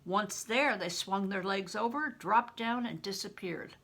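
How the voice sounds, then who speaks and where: An older woman reads a children's novel aloud in a bright, forceful voice with noticeable creak. Her delivery is expressive and emphatic, like a seasoned storyteller. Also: mid-range voice, a little slow. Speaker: retired reading teacher, storyteller. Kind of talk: expressive audiobook-style reading of a children's novel.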